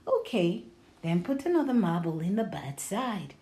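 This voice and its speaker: A woman voicing a patient, gently coaxing mother in a storybook, encouraging and a little mysterious. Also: lowish voice, sing-song, moderate pace. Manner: encouraging, reassuring.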